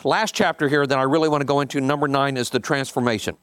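A man's voice: medium pitch, fairly even intonation, quick pace, fairly bright tone, clear, resonant tone, dry recording. A man giving an informal coaching talk, reading bits from a book and commenting, often repeating points for emphasis and checking in with listeners. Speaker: business coach leading a group session.

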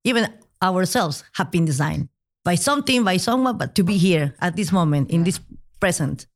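A woman's voice: fairly low pitch, expressive, moderate pace. A woman from Peru with a Peruvian Spanish accent, explaining earnestly as a guest in a casual interview. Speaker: podcast guest, legal designer.

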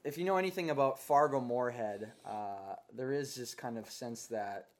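A man's voice: mid-range voice, moderate pace. A young man from Minnesota hosting a casual faith podcast, talking in an earnest, easygoing way with frequent fillers and restarts. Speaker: podcast host.

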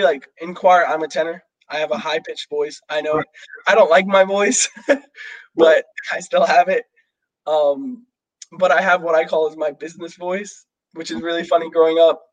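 A man's voice: a little high, lo-fi sound. A young man in casual conversation, rambling with many fillers and restarts. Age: young adult. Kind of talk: casual chat.

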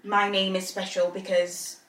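A woman's voice: medium pitch, flat delivery, unhurried, fairly bright tone, distant mic. Zambian-born woman chatting in an echoey room. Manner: thinks aloud with many restarts, laughs easily. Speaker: podcast co-host. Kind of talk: casual chat. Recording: echoey room, voice a little off-mic.

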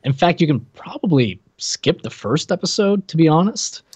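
A man's voice: a little high, very animated, moderate pace, darkish tone, husky. A man co-hosting a podcast in casual, rambling, jokey chat. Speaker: podcast co-host.

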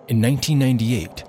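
A man's voice: mid-range voice, vocal fry, darkish tone, slight background noise. A man playing a polite, hesitant radio documentary producer with a formal way of talking in a comedy audio drama.

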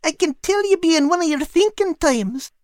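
A man's voice: very high-pitched, animated, normal speed, dry recording, clean studio sound, pitched above the performer's own voice. A man voicing a sweet, cheerful female dog, for a storybook. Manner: sweet, bright, eager.

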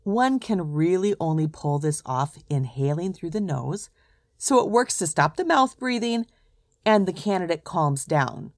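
A woman's voice: on the low side, lively intonation, measured pace, no echo, studio quality. A woman hosting a solo podcast, telling stories in a direct, conversational way from a script. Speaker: podcast host, recruiter.

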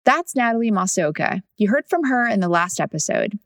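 A woman's voice: medium pitch, sing-song, fairly quick, no echo, clean studio sound. A woman narrating a scripted documentary podcast as its host.

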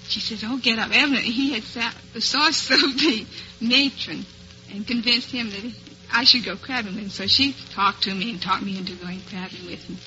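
A woman's voice: medium pitch, bright, forceful tone, lo-fi sound. A woman from the United States chatting as a contestant on an old radio quiz show, polite and good-humoured. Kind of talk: chatty storytelling in a radio interview. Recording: slightly noisy, band-limited old radio broadcast.

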